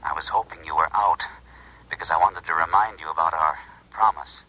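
Anxious pushy scientist on the phone, low raspy voice, old 70s radio show. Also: male voice, steady tone, fairly quick, pushed and bright. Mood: anxious, insistent. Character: anxious, insistent male scientist on the telephone. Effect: telephone filter. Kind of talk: 1970s radio drama acting. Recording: harsh, dull telephone effect on old radio.

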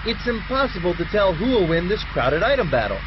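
A man's voice: very animated, brisk. A man voicing an upbeat race broadcaster for a kart game, calling each course with rising hype. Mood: hyped. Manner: rising hype on course names, swinging pitch. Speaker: race announcer. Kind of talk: excited game announcer. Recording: dull game audio with noise.

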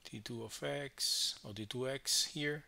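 Slow, sharp-voiced male physics teacher. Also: mid-range voice, vocal fry. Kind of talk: step-by-step worked problem explanation.

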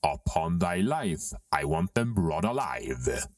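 A menacing, commanding dark lord in a Shakespearean audio drama. His voice is extremely low, swings dramatically in pitch and takes its time over each archaic line, set a little back from the mic. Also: very animated, no echo, clean studio sound, far from the mic, faint music behind, heavily acted. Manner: slow, declamatory, archaic verse delivery.

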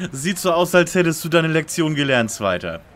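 A man's voice: on the high side, sing-song, unhurried, a bit bright. A man playing a self-assured young lead detective in a parody audio drama, dry, precise and commanding. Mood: dry, composed.

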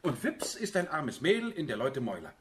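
An actor playing a gruff, protective middle-aged merchant father in a radio drama, given to grumbling. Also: medium pitch, huge pitch swings, brisk, a bit bright, a little hiss or hum. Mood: gruff, scolding. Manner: scolding, grumbling. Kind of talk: radio drama acting in German.

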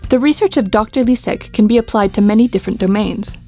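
Woman, documentary voice-over, clear measured reading, strong background music. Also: medium pitch, dark tone. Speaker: narrator. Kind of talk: scripted documentary narration. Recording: studio voice-over with music behind.

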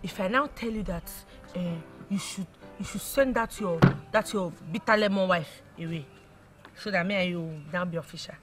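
An actress playing a flirtatious mistress who teases her lover in a coy, drawn-out way. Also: on the low side, fairly bright tone, faint music behind, lightly acted.

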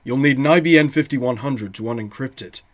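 A man's voice: medium pitch, brisk, natural voice. A young man voicing a polite, knowing anime informant with a precise, formal delivery. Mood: cool helpfulness.

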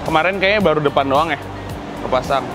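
A man's voice: on the high side, fast talker, music in the background. A young man from Indonesia giving a casual, slangy walk-through of his custom workshop, laughing often. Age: young adult. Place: large indoor car workshop.

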